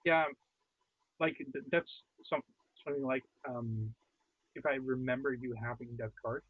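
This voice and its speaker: A man co-hosting a card game stream over a remote call, with a husky voice, talking things through with hesitant restarts. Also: medium pitch, fairly even intonation, unhurried, no echo. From outside the United States. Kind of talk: casual post-game chat. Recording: dry, tinny call-quality audio.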